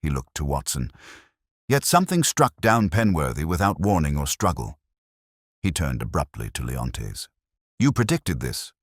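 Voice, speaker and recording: A man's voice: rumbling low, sing-song, moderate pace, no echo, studio quality. A man reading scripted mystery fiction as a dramatic audiobook narrator.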